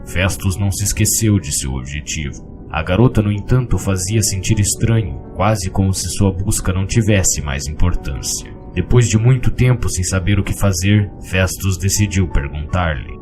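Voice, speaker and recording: A man with a Brazilian accent narrating a scripted storybook tale. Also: low-pitched. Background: music with guitar.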